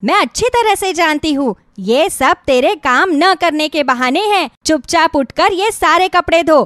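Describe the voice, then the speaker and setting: A woman's voice: high-pitched, animated, unhurried, a bit bright, dry recording, clean studio sound. A woman voicing a cruel, threatening mother in a moral story, scolding harshly and shrilly. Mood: angry, menacing. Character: cruel, threatening mother berating her young daughter.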